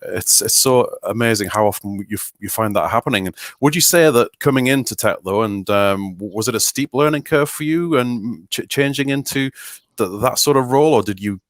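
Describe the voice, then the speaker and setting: A man's voice: medium pitch, animated, moderate pace, no room sound. A middle-aged man co-hosting a casual interview in a friendly, welcoming, wordy way. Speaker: podcast co-host.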